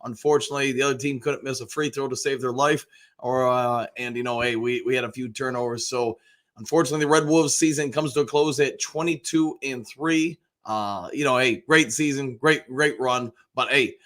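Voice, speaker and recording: American guy doing a live sports fan show, voice a bit hoarse, chatty and rambly, mid pitch. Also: fairly bright tone. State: slightly hoarse. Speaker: sports show host, basketball coach and realtor.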